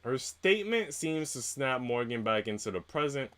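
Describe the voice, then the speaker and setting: A man's voice: mid-range voice, very animated, normal speed, a bit bright. A man live-streaming as he reads a visual novel aloud and chats casually in slangy, mildly sweary language. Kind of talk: casual live-stream chat mixed with read-aloud narration.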